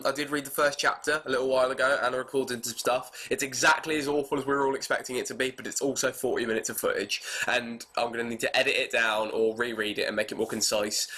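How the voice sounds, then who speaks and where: A man's voice: mid-range voice, sharp tone. Stuffy-voiced British man with a cold. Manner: chatty, crude, self-mocking. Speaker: vlogger. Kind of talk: rambling casual monologue to camera. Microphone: webcam.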